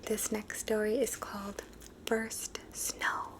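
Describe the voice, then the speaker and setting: A woman reading children's stories aloud in a soft, unvoiced ASMR whisper. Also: medium pitch, completely flat delivery, slow. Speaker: storybook reader.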